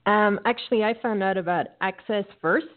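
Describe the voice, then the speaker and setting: Friendly woman co-host explaining things in a mid-pitched voice over a phone-like line. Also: normal speed. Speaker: co-host and facilitator. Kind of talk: casual online radio chat. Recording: phone-line call audio.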